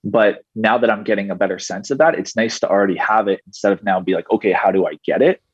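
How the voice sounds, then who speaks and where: A young man in his twenties talks in a measured, explanatory way as a podcast guest. His voice is a little low and husky. The recording is dry and somewhat muffled. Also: dry recording. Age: 20s. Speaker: guest, digital marketing entrepreneur. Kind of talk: podcast interview answers. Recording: dull, muffled podcast audio.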